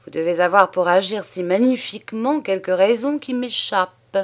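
Lady reading a stiff old count, sarcastic, quick to blow up, audiobook style. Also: medium pitch, lightly acted. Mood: ironic, scornful. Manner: haughty and ironic, flaring into shouts. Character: proud, hot-tempered old male aristocrat.

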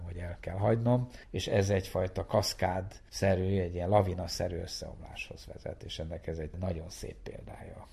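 A man speaking as a researcher in an explanatory interview, in a measured way, searching for his words. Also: lowish voice, creaky, a little mellow, husky. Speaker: network science researcher.

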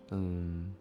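A male voice actor talking casually and reflectively about his work, earnest and halting, often repeating his phrases. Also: low-pitched, dark tone. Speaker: voice actor discussing an audio drama. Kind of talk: casual, reflective cast chat.

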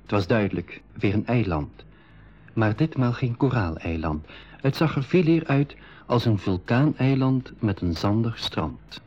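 A man narrating his own adventure calmly in a scripted 1980s radio play, with a husky voice. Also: medium pitch, unhurried. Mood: calm storytelling. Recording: slightly dull 1980s radio recording.

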